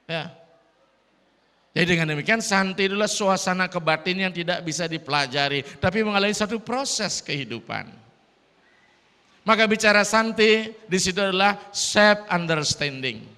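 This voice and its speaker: High, bright Sulawesi-accented priest preaching. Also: male voice, moderate pace, clear, resonant tone. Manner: lively preaching mixed with English phrases. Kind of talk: dharma talk sermon. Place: large hall at a temple gathering. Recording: long reverb of a hall.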